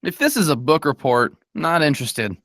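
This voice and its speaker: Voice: medium pitch, big pitch swings, normal speed, a bit bright, dry recording, studio quality. A performer reading the part of a teasing, sceptical young boy with a mocking manner at a screenplay table read.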